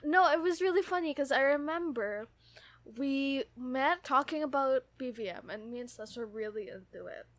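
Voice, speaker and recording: A woman's voice: high voice, normal speed, fairly bright tone, no room sound. A young woman co-hosting a podcast in a casual, conversational style. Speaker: podcast co-host. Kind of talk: casual chat.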